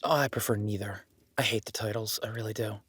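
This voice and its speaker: A man's voice: on the low side, fairly bright tone, husky. Man playing a hesitant, modest young werewolf duke. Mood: earnest, modest. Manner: hesitant, self-deprecating, informal, halting. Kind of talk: intimate audio roleplay acting.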